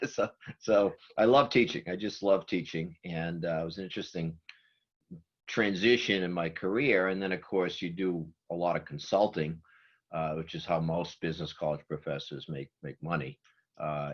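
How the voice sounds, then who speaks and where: A man's voice: sits low, breathy edge, highs cut off. A man in his seventies from Massachusetts with a Boston accent, chatting as a guest and laughing easily. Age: 70s. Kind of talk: casual video call chat.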